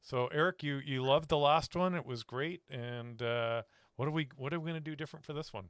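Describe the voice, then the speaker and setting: A man's voice: on the high side, brisk, fairly bright tone, clear, resonant tone, dry recording, clean studio sound. A man hosting a casual interview as a company representative, speaking in an informal, loosely phrased way. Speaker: interviewer host from a sponsoring company.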